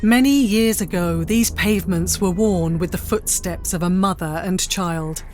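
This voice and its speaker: Slow, solemn woman storyteller. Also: on the low side, darkish tone, slight background noise. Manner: solemn, measured. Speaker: narrator. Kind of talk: dramatic scripted narration of a period story.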